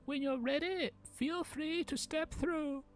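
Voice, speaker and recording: Underworld clerk, piping high voice, slow, polite, official. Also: male voice, a little hiss or hum, heavily acted. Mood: officious. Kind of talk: audio roleplay acting.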